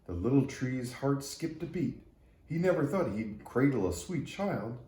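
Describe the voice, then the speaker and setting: A man's voice: mid-range voice, sing-song, a little slow, warm tone. A man reading a children's story aloud in a storytelling style. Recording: roomy echo.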